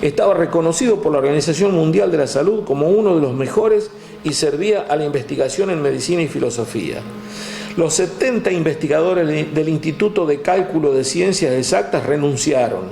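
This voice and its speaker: Argentine man with a gravelly voice. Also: fairly high pitch, dark tone, echoey room. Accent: Argentine Spanish. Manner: frequent "este" fillers, reads out figures. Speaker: guest storyteller. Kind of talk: conversational history talk.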